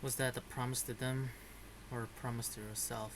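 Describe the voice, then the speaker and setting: A man's voice: mid-range voice, little pitch movement, normal speed, noisy background. A man giving casual, live commentary while playing a video game.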